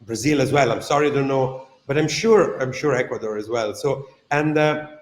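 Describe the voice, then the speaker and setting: A man's voice: mid-range voice, moderate pace, roomy sound. A man interviewing a former student, speaking hesitantly with many fillers and restarts. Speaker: interviewer for a craft school. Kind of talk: interview questions and comments.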